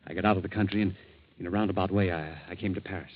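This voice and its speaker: A man playing a nervous, earnest fugitive who speaks haltingly in an old-time radio drama, on a dull vintage recording. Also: sits low, fairly even intonation. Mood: halting, grave. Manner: hesitant, halting. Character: nervous, earnest wanted Austrian man protesting his innocence.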